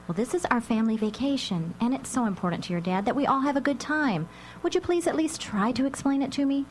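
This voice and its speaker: Woman as an exasperated, higher-voiced mom. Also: lively intonation. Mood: coaxing, exasperated. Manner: brisk, coaxing, gently scolding. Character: exasperated, insistent mother of teenagers. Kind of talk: live radio drama acting. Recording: live radio recording with light hum.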